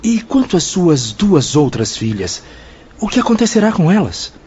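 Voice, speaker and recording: An actor playing a curious, concerned spirit listener in a Brazilian radio drama, expressive and insistent. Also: male voice, high-pitched, normal speed. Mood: eager, anxious. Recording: dull, faintly noisy track.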